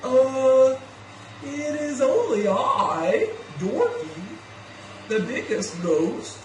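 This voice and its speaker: A dopey puppet-show ghost played by a woman talks in a silly, halting way. The voice drawls very slowly and swoops around, a bit far from the mic. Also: high-pitched, lively intonation, voice pushed up, full character voice. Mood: dopey chatty. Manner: halting, goofy. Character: silly, dopey, talkative bigger brother ghosts. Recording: echoey, distant, faintly noisy room.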